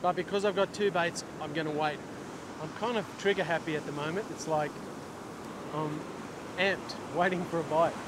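A middle-aged man with an Australian accent talks in a relaxed, instructional vlog style. His voice sits high for a man. It is recorded outdoors on a beach, with clear noise from waves and wind behind him. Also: normal speed. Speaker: fishing instructor and vlogger. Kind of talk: casual instructional talk to camera. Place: open ocean beach, outdoors. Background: waves, surf and wind.

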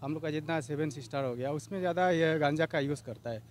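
A man's voice: a little high, fast talker, a little mellow, a little hiss or hum. A middle-aged man from Arunachal Pradesh, earnestly answering a street interview outdoors by a river into a handheld mic. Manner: earnest, repetitive, appealing. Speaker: vox pop interviewee.

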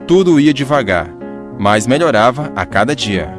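A man's voice: medium pitch, sing-song, moderate pace, faint music behind. A man with a Brazilian accent reading scripted narration for a radio drama.

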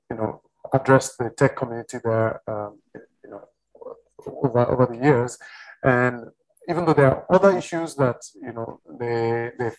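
Man panelist, mid-pitched, earnest, halting delivery, video call. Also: low-quality recording. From Nigeria. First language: Yoruba. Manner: earnest, hesitant, repeating words as he thinks. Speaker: panelist, writer and research fellow. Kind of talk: casual panel discussion.

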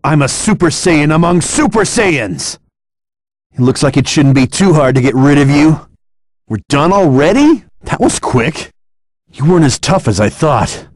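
An actor playing an earnest young martial-arts hero in an anime fighting game, with an intense, emphatic delivery. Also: male voice, a little high, very animated, unhurried, no room sound, studio quality. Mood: confident.

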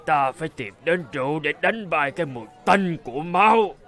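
An actor playing a burly, boastful, hot-tempered royal guard and bridegroom in a cartoon folk tale, emphatic and demanding. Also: male voice, on the high side, expressive, brisk, a bit bright. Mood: drunken boasting. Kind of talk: animated folk-tale dialogue.

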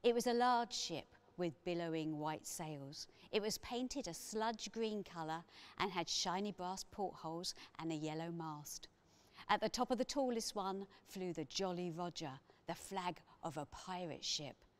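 Lower-voiced middle-aged woman reading a storybook. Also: a little slow. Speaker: author reading aloud. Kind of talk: expressive children's storybook narration.

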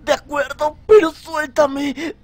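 Guy voicing hot-headed teen anime soldier boy, high voice, latin american spanish, slow delivery, music behind. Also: fairly even intonation. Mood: pained pleading. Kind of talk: amateur anime fan dub acting.

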